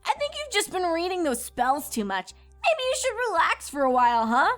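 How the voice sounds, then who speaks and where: A brash young cartoon pony girl, voiced by a woman, very high and lively in pitch. She talks in a breezy, slangy way, with music underneath. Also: moderate pace, heavily acted. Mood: uneasy. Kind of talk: cartoon fan fiction dramatization.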